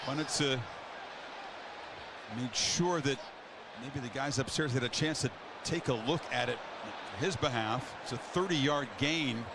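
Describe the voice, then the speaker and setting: A man's voice: medium pitch, unhurried, pauses often. Male play-by-play announcer over stadium noise. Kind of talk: American football play-by-play commentary. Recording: game broadcast with clear stadium noise.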